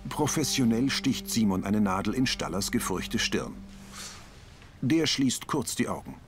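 A man's voice: on the low side, expressive, measured pace, faint music behind. A man reading terse scripted scene descriptions as an audio description narrator.

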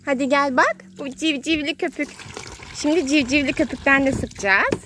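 A woman's voice: high voice, normal speed, sharp tone, a little hiss or hum. A woman narrating play for a small child in short, simple, sing-song exclamations, recorded outdoors. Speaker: children's video host playing with a child. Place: outdoors on grass in a garden.

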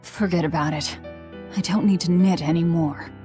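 A woman as a low-voiced, guarded young swordswoman. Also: fairly even intonation, normal speed, music in the background, close to an everyday voice. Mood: weary, brusque. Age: young adult. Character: bitter, guarded young swordswoman, brusque and hardened. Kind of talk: video game acting.

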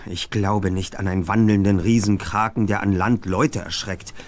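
A man's voice: on the low side, steady tone, normal speed, lightly acted. A man playing a brainy, self-assured teenage boy detective in an adventure game, with precise, formal diction. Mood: analytical. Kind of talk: German adventure game voice acting.

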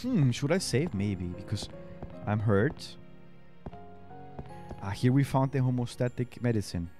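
A man's voice: mid-range voice, animated, measured pace, music in the background. A man in his thirties, a native Spanish speaker with a German accent, chatting on a headset mic while streaming a game, thinking aloud and answering chat. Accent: German-accented English. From Austria. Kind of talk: casual let's-play commentary. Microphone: headset mic at a desk.

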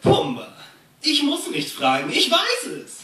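A man's voice: very high voice, wildly swooping pitch, measured pace, bright, forceful tone, exaggerated voice. A man playing a cocky, wisecracking little meerkat in a live radio play, a mocking know-it-all. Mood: smug. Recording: echoey hall, distant handheld phone, noisy.

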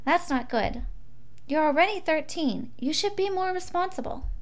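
A woman's voice: sits high, animated, moderate pace. A woman voicing a worried, nagging mother in a visual novel, chiding and concerned.

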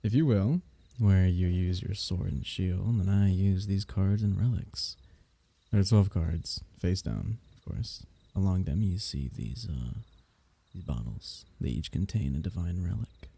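A man playing an affectionate, teasing royal diviner in an intimate fantasy ASMR roleplay, speaking haltingly with courtly wording. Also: low-pitched, no room sound, exaggerated voice. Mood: teasing, fond. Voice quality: mellow, gravelly.

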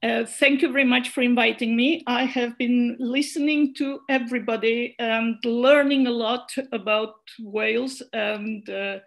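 A woman's voice: on the high side, fairly even intonation, unhurried, gravelly, hardly any pauses. A woman whose first language is Catalan, giving a hesitant conference presentation over a video call with many fillers and restarts. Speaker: conference speaker, network secretary general.

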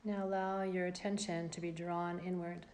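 A woman leading a guided yoga relaxation as an instructor, speaking in a calm, measured, gently directive way with long pauses. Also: on the low side.

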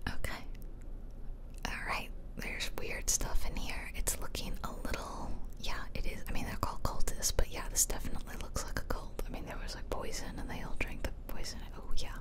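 A woman whispering playful, rambling ASMR commentary over a video game, close to the microphone. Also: a little fast. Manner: playful, self-deprecating, rambling asides. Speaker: game streamer, ASMR creator. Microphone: close ear-to-ear ASMR microphone.

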